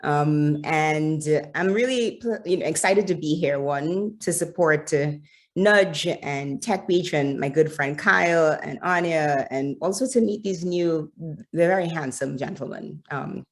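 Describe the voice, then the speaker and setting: A woman's voice: low voice, unhurried. A woman from Trinidad and Tobago with a Trinidadian accent, introducing herself on a panel in a chatty, self-assured way over a video call.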